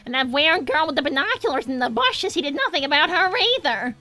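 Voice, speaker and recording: A woman voicing a chatty, bossy young female cartoon hedgehog in an animated, indignant, improvised style. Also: fast, soft background music, exaggerated voice. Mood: exasperated. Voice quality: very high, girlish, bright. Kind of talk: improvised in-character livestream banter, reading viewer messages.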